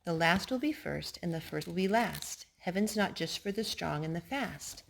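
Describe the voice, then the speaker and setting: Animated woman reading to little children. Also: on the low side, vocal fry, slight background noise. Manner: coaxing questions and emphatic, drawn-out key words. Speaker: storyteller reading picture books aloud to small children. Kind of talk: animated read-aloud to young children.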